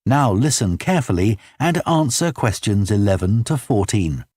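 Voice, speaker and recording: A man reading scripted exam instructions as an announcer, in a formal, measured manner. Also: lowish voice, sing-song, a little mellow, no echo, clean studio sound.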